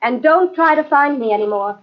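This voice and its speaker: An actress playing a mysterious, sorrowful young femme fatale with a wistful, restrained manner in an old radio mystery. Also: female voice, high-pitched, fairly quick, lightly acted. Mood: sad, resigned. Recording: dull, muffled old radio tape.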